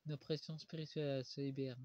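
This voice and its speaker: A man with a cold, doing a solo tarot card reading, slowed by many pauses and fillers. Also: medium pitch, level tone, darkish tone. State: stuffy with a cold. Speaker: tarot reader. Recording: dull lo-fi recording.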